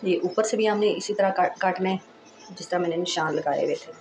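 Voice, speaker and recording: Hoarse woman chatting through a sewing tutorial. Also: lowish voice, a little fast, a little mellow, faint music behind. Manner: chatty and reassuring, frequent "accha ji". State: hoarse, sore throat. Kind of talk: casual step-by-step sewing tutorial.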